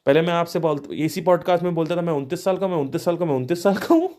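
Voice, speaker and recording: A man's voice: fairly high pitch, expressive, quick pace, mellow. A man of thirty hosting a podcast, musing aloud on spiritual ideas with restarts and rhetorical questions. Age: 30s. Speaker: podcast host. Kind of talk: reflective spiritual talk.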